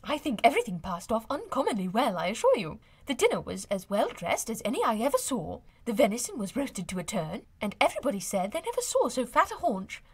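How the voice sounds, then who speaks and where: A woman voicing an excitable, boastful, gossipy middle-aged mother in an audiobook, gushing with emphatic, effusive delivery. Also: on the high side, husky, heavily acted. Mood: gushing, triumphant. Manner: effusive, emphatic, drawn-out exclamations. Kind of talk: audiobook character acting of a classic novel.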